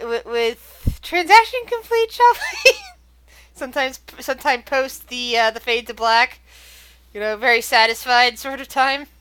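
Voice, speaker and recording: A woman narrating a tabletop game as game master, searching for words with many pauses and fillers. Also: high-pitched, fairly bright tone, clean tone. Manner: hesitant, many fillers and restarts.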